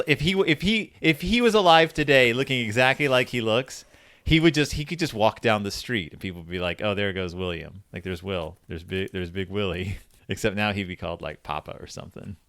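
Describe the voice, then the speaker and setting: Animated, chatty man hosting a podcast. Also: on the low side, smooth, dry recording. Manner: enthusiastic, chatty, frequent "like" as filler. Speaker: podcast host. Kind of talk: casual chat about literature.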